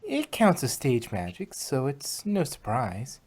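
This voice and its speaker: A woman voicing a young pony with a husky voice in an amateur cartoon fan fiction reading. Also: a bass voice, huge pitch swings, takes it slowly, darkish tone, full character voice. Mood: patient, matter-of-fact. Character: young cartoon ponies, grumbling or patiently instructive.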